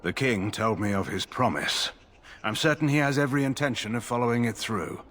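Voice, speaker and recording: An actor playing a stern, proud, middle-aged general in a video game, formal and condescending. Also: male voice, medium pitch, lively intonation, brisk, a bit bright. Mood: stern, dry. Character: stern, proud, skeptical male general and nobleman. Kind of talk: video game character dialogue.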